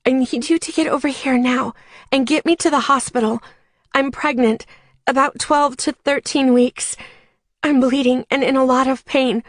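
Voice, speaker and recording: A woman's voice: sits high, fairly even intonation, moderate pace, dry recording, clean studio sound. A woman voicing a frightened, desperate pregnant young woman in pain, pleading in an audiobook. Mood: urgent, pleading. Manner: pleading, strained. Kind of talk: audiobook character dialogue.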